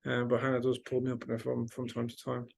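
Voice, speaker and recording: A man's voice: medium pitch, completely flat delivery, fast talker, vocal fry, a little mellow. Plain-spoken Irish man, Dutch-born. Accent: Irish with a trace of Dutch. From Netherlands. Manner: plain-spoken, direct, wry, with frequent restarts and ums. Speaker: podcast guest, host's husband. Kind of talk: casual conversation.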